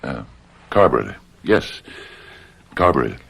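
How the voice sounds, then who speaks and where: An actor playing a guilt-ridden, anxious murderer in old-time radio drama style, halting and stammering as he repeats himself. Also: very deep voice, big pitch swings, gravelly, fairly bright tone, husky, full character voice. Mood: nervous, distracted. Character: guilt-ridden anxious man who has committed murder, confessing and faltering.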